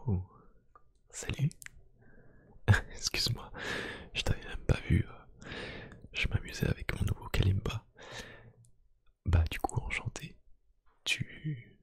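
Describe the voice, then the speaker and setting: Whispering man, very breathy and slow. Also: lowish voice, expressive, no room sound, studio quality. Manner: hushed, gentle, unhurried, speaking one-to-one to the listener. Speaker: ASMR YouTube creator. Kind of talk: soft-spoken ASMR personal-attention roleplay. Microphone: close microphone.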